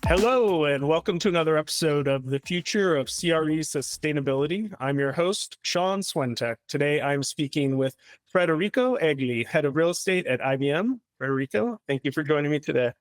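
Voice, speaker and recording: A man's voice: a little high, expressive, a little fast, no room sound, soft background music. A man in his thirties hosting a podcast, asking scripted interview questions in a polished delivery from a home office. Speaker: podcast host.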